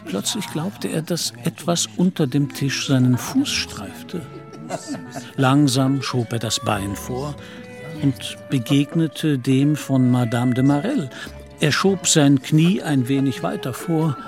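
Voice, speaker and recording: Male radio drama narrator, mid-range voice, scripted literary prose, clear music bed. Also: mid-range voice, lively intonation, slow.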